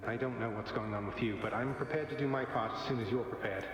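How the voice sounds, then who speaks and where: A man's voice: mid-range voice, fast talker, music in the background. A man playing a wry young underworld prince in a game, dry and understated, his voice in dreamlike echo. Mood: puzzled, searching. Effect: heavy dreamlike echo and reverb. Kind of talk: scripted game acting. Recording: very echoey, long hall reverb.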